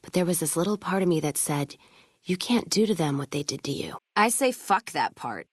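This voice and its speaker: A woman's voice: lowish voice, animated, normal speed, breathy edge, no room sound, clean studio sound. A woman playing a tough, foul-mouthed, vengeful caravan trader in a video game, talking in a blunt, folksy, wry way. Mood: grimly satisfied. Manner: blunt, folksy, wry drawl of plain talk. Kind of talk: video game dialogue acting.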